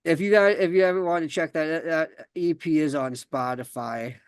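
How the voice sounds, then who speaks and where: Halting young man with a smooth voice. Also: on the high side, no echo, studio quality. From South Dakota. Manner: halting, many fillers and restarts. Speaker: podcast host. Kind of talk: casual chat, then reading a personal story.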